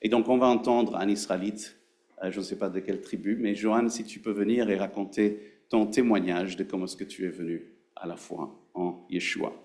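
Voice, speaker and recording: A man preaching a sermon in a conversational, halting way, with frequent fillers. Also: fairly low pitch, fairly even intonation, a little mellow.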